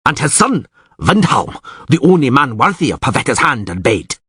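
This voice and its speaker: A man playing a proud nobleman who shouts and demands revenge. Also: medium pitch, very animated, normal speed, husky, no echo, clean studio sound, voice pushed up, exaggerated voice. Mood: vengeful. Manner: shouting, vengeful. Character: proud hot-blooded nobleman.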